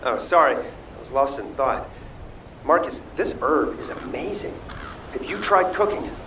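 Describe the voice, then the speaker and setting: A man voicing an eager young video game fighter with a cook's passion. His delivery swings freely in pitch, casual and playful. Clear noise and game ambience sit behind the voice. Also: animated, moderate pace, natural voice. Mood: enthralled. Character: eager, easygoing young male fighter who loves cooking.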